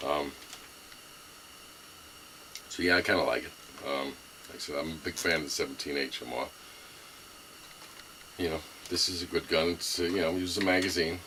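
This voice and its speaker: A man's voice: rumbling low, fairly even intonation, moderate pace, long pauses, pushed and bright, a little hiss or hum, low-quality recording. A middle-aged man with a Brooklyn accent, rambling casually with lots of fillers and restarts as he shows off his rifle. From New York. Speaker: gun owner reviewing his rifle. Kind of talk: casual rambling show-and-tell.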